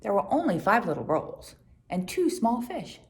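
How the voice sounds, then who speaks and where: A woman reading a children's Bible story aloud in an expressive storytelling manner. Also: medium pitch, normal speed. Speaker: storybook reader.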